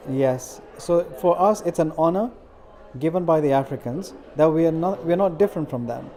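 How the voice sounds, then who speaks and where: Earnest middle-aged man, mellow voice. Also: on the high side. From India. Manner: earnest, measured with frequent fillers. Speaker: interviewee, community organizer. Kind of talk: interview answers.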